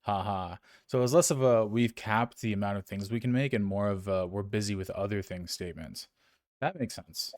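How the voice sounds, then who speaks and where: A man's voice: lowish voice, normal speed, a little mellow, no room sound, studio quality. A man co-hosting a casual gaming podcast, reading listener emails in a rambling, self-correcting way and chuckling often.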